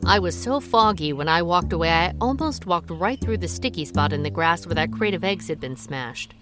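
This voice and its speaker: A wry amateur detective, played by a woman narrates her story in a radio play. She talks earnestly, with a dry humor, at a middle pitch. Music plays under her. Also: expressive, normal speed, close to an everyday voice. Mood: reflective. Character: worried amateur detective woman, diner owner. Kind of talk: radio drama narration and acting.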